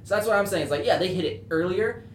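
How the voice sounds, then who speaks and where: A man's voice: high voice, fast talker, a little hiss or hum. A young man chatting casually on a podcast, blunt and opinionated, with frequent swearing. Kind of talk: casual chat. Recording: echoey room.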